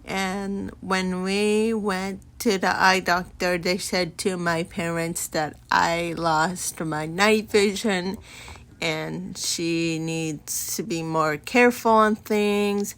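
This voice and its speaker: A woman in her twenties, from Mexico, hosting a podcast and telling her life story in an earnest way with pauses and restarts. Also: on the low side, steady tone, fairly bright tone, smooth. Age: 20s. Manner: earnest, unhurried, talks with many pauses and restarts. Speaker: podcast host.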